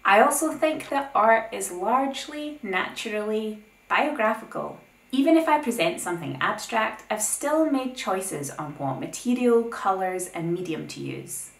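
A young woman hosting an art video, reading a scripted essay with opinionated, lively asides. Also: mid-range voice, echoey room, low-quality recording. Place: plain indoor room.

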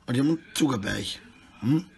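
A middle-aged man with a husky voice, rambling disjointedly in a selfie monologue recorded indoors on a handheld phone. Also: mid-range voice, expressive, fast talker, slight background noise. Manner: rambling, disjointed, often tags sentences with questions. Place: small room indoors. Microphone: phone held at arm's length.

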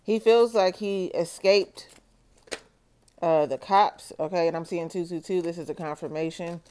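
Mellow-voiced woman giving a tarot reading. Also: fairly low pitch, steady tone. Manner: slow, measured, repeats "okay?" to viewers. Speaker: tarot reader. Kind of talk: solo tarot reading video.

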